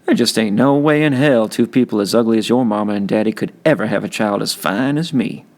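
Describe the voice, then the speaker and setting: A man's voice: lowish voice, brisk, darkish tone, close to an everyday voice. A man voicing a cocky, streetwise teenage older brother with blunt, slangy talk in a novel reading. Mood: smug.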